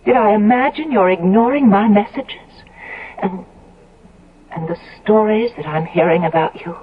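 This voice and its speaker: Female actor as earnest young sweetheart for old radio drama: mid-pitched, formal, emotive, slightly dull sound. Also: a little hiss or hum, close to an everyday voice. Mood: accusing, hurt. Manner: measured, emotive, formal phrasing. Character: earnest, devoted young fiancée, proper and reproachful. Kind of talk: 1970s radio drama acting.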